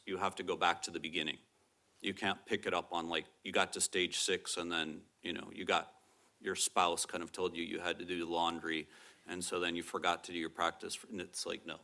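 A middle-aged man with a very low, strong voice that carries, explaining ideas at a table microphone on a lecture theatre stage. He talks in a measured, careful way, hedging with qualifiers as he lays out each point. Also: sharp tone. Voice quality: strong, projecting voice. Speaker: panel speaker, professor. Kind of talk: academic panel discussion.

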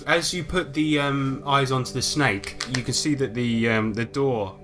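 A man's voice: medium pitch, fairly even intonation, measured pace, over loud music. A man narrating a tabletop game as game master, improvising descriptions in a hesitant, descriptive way.

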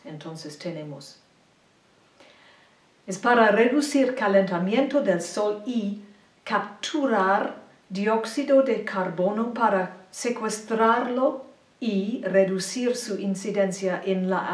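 An older woman giving a slide lecture point by point, in careful, deliberate phrasing of a non-native speaker, speaking in a small indoor room. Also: medium pitch, distant mic. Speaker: presenter. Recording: roomy echo, mic set back.

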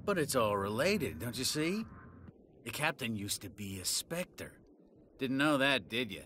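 A bitter, sneering drunk cop. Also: male voice, mid-range voice, big pitch swings, a little slow, sharp tone, slight background noise. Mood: mocking. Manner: sneering, taunting, chuckling between phrases. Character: bitter, mocking, hard-drinking disgraced cop. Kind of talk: video game dialogue acting.

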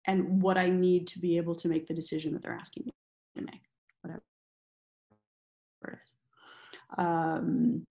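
A woman's voice: on the low side, a little mellow. A woman giving a training presentation over a video call, earnest and conversational with frequent fillers.

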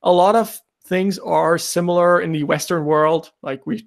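A man's voice: high-pitched, normal speed, vocal fry. A young man from Germany, a native German speaker, hosting a casual podcast chat over a remote call. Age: young adult. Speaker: podcast host. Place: indoor room, remote video call.

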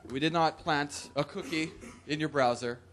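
A man's voice: on the high side, normal speed, fairly bright tone, a little hiss or hum. A young man giving a loose, joking talk in a conference hall, with whoops and laughs, into a podium microphone. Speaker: conference presenter. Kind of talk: casual live tech talk.

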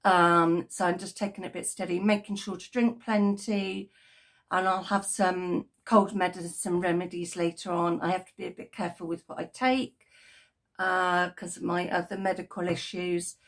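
Croaky British woman chatting about crochet. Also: lowish voice, level tone, normal speed. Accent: British English. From United Kingdom. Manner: chatty and rambling, lots of ums and self-corrections. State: croaky, coming down with a cold. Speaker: crochet vlogger. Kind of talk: casual chat to camera. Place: home craft room with yarn shelves, indoors. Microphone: webcam at desk, framed from the chest up.

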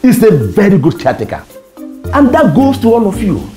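A gleeful Nigerian landlady, with a mid-pitched voice that swings wildly up and down. The praise is effusive and teasing, in comedy drama style, with music behind. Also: huge pitch swings, moderate pace, warm tone.

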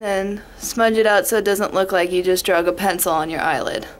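A woman's voice: medium pitch, normal speed. A young woman from Las Vegas, chatty and rambling, talking through a makeup tutorial into a webcam in her bedroom. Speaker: beauty vlogger. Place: bedroom at home. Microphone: webcam in front.

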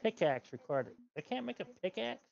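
A man's voice: sits high, very animated, brisk, vocal fry, lo-fi sound, a little hiss or hum. A middle-aged man streaming a game from home, grumbling and talking to himself as he plays. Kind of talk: casual gameplay chat. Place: home gaming room, indoors.

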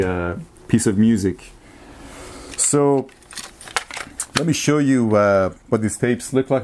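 A man in his forties chatting casually to camera as a vlogger, with an enthusiastic, appreciative and somewhat halting delivery. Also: lowish voice, darkish tone. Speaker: vlogger showing a music and tape collection. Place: small home room, indoors.

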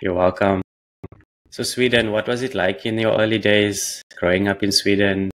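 Man, podcast host, very low voice, monotone, headset mic, halting questions. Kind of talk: video call interview. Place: indoor room.

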